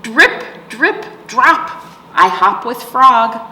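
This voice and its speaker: Cheerful storybook duckling, acted by a woman, singsong and very animated, slow pace, echoey room. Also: on the high side, voice pushed up, heavily acted. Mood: cheerful, playful. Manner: bouncy, singsong rhyming delivery. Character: cheerful little duckling who loves rainy weather and quacking. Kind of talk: children's picture-book read-aloud. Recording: echoey reverberant room, faint background noise.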